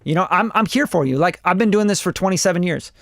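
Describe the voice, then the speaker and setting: A man's voice: sits high, expressive, fast talker, gravelly, dry recording, clean studio sound. A man in his forties from Costa Rica, a music producer chatting on a livestream in a rambling, blunt, swearing way. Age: 40s. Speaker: music producer reviewing songs live. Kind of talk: casual livestream chat.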